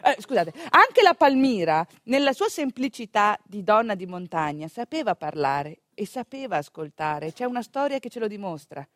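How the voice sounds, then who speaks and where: A woman actress telling a story as a theatrical monologue, expressive, colloquial and confiding, with a northeastern Italian accent. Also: mid-range voice, normal speed, few pauses, no room sound, studio quality.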